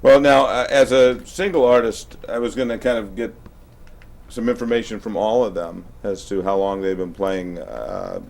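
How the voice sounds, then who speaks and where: A man hosting a music show, interviewing guests in a casual, chatty way with halting, rambling questions. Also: fairly low pitch, animated, creaky, a little mellow.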